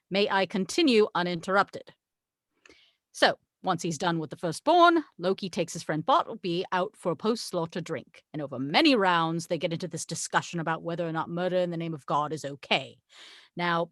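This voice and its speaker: A woman's voice: fairly low pitch, fairly bright tone, dry recording, clean studio sound. A woman reading the part of a haughty, sarcastic angel in a script table read, with a wry, exasperated, condescending manner. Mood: wry storytelling. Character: haughty, sarcastic tequila-loving angel, the voice of God.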